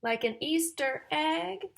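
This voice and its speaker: A woman reading a picture book aloud to young children in a sing-song, exaggerated way, pausing to ask and explain like a teacher. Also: high-pitched, animated, gravelly. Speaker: children's story-time reader and teacher.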